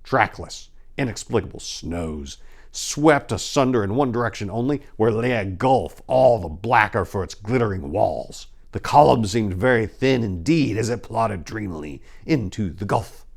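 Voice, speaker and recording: Man performing dread-stricken storyteller, low pitch, solemn, theatrical, swelling and sinking, gothic horror reading. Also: expressive, moderate pace, creaky. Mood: haunted, grim.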